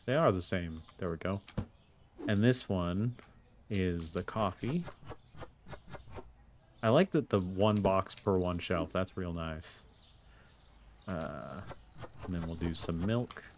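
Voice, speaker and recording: A man's voice: low-pitched, takes it slowly, pauses often, background noise. A man casually commentating while he plays a store management game, muttering his thoughts aloud in a laid-back way. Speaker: gameplay video host. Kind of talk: casual let's play commentary.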